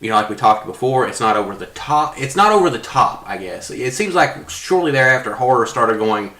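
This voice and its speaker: A man's voice: medium pitch, big pitch swings, fairly quick, sharp tone. A man on a podcast panel, casually discussing film in a reflective way, repeating phrases for emphasis. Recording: roomy echo.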